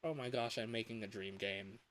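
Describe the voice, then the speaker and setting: A man in his early twenties chatting casually on a livestream as a game developer, rambling in an excitable, self-deprecating, giggly way. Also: fairly low pitch, a little fast. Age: early 20s. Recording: hissy home livestream audio.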